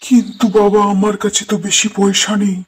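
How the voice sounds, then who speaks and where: A woman playing a poor, worried old male woodcutter in a cartoon, speaking in a slow, fretful, plaintive way. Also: medium pitch, completely flat delivery, husky, natural voice. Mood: humble, downcast. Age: older. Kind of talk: cartoon moral-story dialogue. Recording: noisy track with birdsong.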